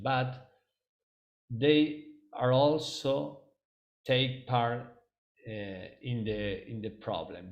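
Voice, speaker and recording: A man from Argentina, a native Spanish speaker with an Argentine Spanish accent, giving a webinar presentation over a video call and often hesitating with ums and restarts. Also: on the low side.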